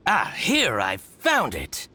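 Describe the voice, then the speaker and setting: A man's voice: mid-range voice, wildly swooping pitch, slow, sharp tone, a little hiss or hum, exaggerated voice. A man voicing a petty, scheming shop owner in a video game, theatrical, fawning and spiteful. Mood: sly, gloating. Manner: theatrical, indignant, obsequious.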